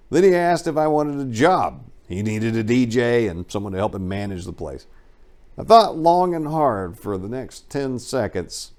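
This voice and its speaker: A man giving a dramatic first-person reading of a memoir, telling it in a wry, animated storyteller's way. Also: mid-range voice. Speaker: audiobook narrator.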